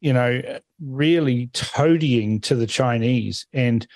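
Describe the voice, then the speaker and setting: A man's voice: mid-range voice, takes it slowly, a little mellow, no echo. A man from Fiji speaking forthrightly on a political radio panel. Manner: forthright, assertive opinions.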